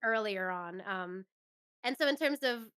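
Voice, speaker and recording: Woman as interview guest, crisp and smooth mid-range voice, relaxed conversational phrasing, agreeable manner. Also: mid-range voice, a little slow. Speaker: interview guest, actress and musician. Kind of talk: casual chat.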